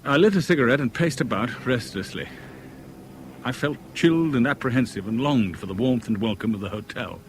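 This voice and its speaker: An actor playing a big, sardonic, hot-tempered thriller hero in a 1970s radio drama, with a vivid, expressive delivery. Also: male voice, medium pitch, fairly quick, natural voice. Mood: tense, atmospheric. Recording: old radio drama recording, faint noise.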